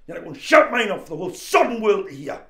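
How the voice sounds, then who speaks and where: Male actor plays a volatile crook who snaps orders; bright, swinging delivery. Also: high voice, big pitch swings, fast talker, creaky, reverberant, exaggerated voice. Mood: angry. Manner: hot-tempered, barking orders, swinging to hushed menace. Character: volatile young male criminal on the run.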